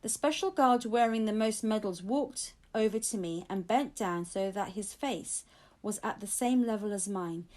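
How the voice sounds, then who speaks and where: A woman's voice: mid-range voice, a little mellow. A woman reading a children's story aloud as an audiobook narrator. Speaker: audiobook reader.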